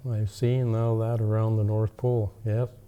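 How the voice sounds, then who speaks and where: A man's voice: fairly low pitch, level tone, moderate pace, warm tone, highs cut off, close to an everyday voice. A man playing kindly old Father Christmas as an avuncular co-host of a children's holiday show. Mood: easygoing. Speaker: storytime co-host.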